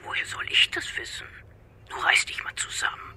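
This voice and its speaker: An actress playing a bossy, adventurous older mother of a grown son in a German radio drama, brisk and impatient. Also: bright, forceful tone, whispered. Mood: curious, impatient.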